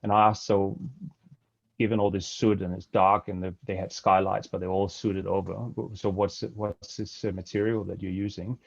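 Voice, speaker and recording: A man's voice: low voice, flat delivery, moderate pace, gravelly, no room sound. A middle-aged man from Denmark with a Danish accent, giving a hesitant webinar talk full of fillers from a home office over a headset. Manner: hesitant, many fillers and restarts. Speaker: webinar guest speaker. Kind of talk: webinar presentation. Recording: dry, dull video-call audio.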